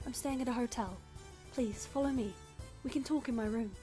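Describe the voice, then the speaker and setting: A woman's voice: fairly high pitch, a little fast, mellow, faint music behind, lightly acted. A woman playing an earnest, discreet young nun working as an informant in a radio play. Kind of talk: radio play acting, fan production.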